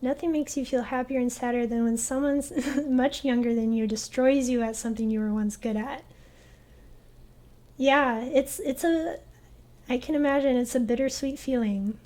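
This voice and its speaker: A young woman streaming a game, chatting in a soft, hesitant way with lots of fillers. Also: sits high, steady tone, moderate pace. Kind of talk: casual gaming stream chat.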